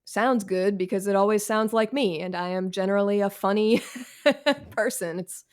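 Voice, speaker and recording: A woman's voice: medium pitch, moderate pace. A woman chatting casually as an interview guest, candid and wryly self-deprecating. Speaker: guest author and essayist.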